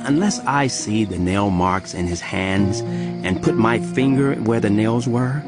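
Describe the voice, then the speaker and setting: An actor playing a stubborn doubting disciple, speaking insistently. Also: male voice, lowish voice, a little slow, lightly acted. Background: loud music score.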